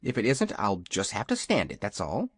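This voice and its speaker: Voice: medium pitch, huge pitch swings, moderate pace, breathy edge, no echo. A reader voicing a plucky young farm girl in a storybook, plain and forthright. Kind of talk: audiobook character voice.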